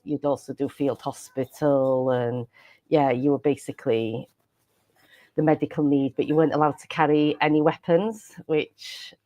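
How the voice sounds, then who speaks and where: A woman's voice: low-pitched, fairly even intonation, normal speed, warm tone, no echo. A Welsh woman, a native Welsh speaker, chatting as a podcast guest, rambling and laughing often. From Wales. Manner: giggly, rambling with frequent laughs and restarts. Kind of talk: casual interview chat.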